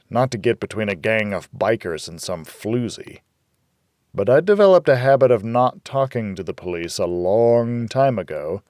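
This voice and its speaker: A man's voice: lowish voice, darkish tone, no room sound, studio quality. A man narrating a hardboiled noir audiobook in the first person, wry and world-weary.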